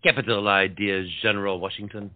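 An earnest, commanding gentleman officer played by a man, deliberate and declamatory. The voice sits fairly low, in a dry, muffled recording of a period stage play. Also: flat delivery, no echo, close to an everyday voice. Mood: brisk. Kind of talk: stage comedy acting.

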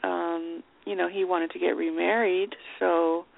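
A woman's voice: on the low side, little pitch movement, measured pace, gravelly. A middle-aged woman chatting casually as a radio show co-host, over a narrow phone-like line. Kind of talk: casual chat.

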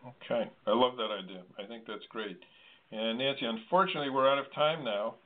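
A man hosting a conversational talk show, with halting, hesitant phrasing. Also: medium pitch. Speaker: host. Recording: thin phone-line sound.

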